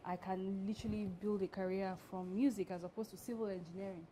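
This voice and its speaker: A woman's voice: medium pitch, steady tone, fast, dark tone, a little hiss or hum. Bubbly woman TV host. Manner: bubbly, enthusiastic interjections. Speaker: TV show host. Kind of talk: studio interview. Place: TV studio.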